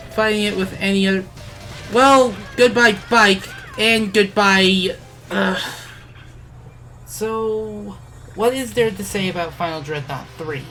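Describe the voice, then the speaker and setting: A woman's voice: fairly low pitch, fairly bright tone, poor audio. A woman giving casual video game commentary, hesitating often and correcting herself as she goes. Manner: halting, self-correcting, frequent hesitations. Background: game music.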